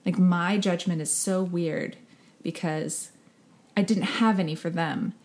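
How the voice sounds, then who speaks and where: A woman's voice: lowish voice, steady tone, a little slow. A woman podcast co-host talking casually and personally, effusive and emphatic, dropping "like" into nearly every thought. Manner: effusive, emphatic, says "like" constantly.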